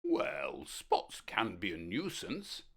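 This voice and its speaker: A woman voicing a kind, calm male ant doctor in a storybook, gentle and reassuring. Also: rumbling low, takes it slowly, gravelly, slightly rough, exaggerated voice. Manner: gentle, reassuring bedside manner. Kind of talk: storybook character voice.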